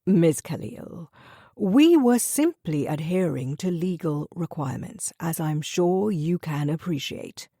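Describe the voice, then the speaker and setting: A woman's voice: deep voice, animated, slow, mellow, no room sound, studio quality, full character voice. Give me a woman playing a stiff, evasive official, formal and pompous. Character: smooth evasive older male school official.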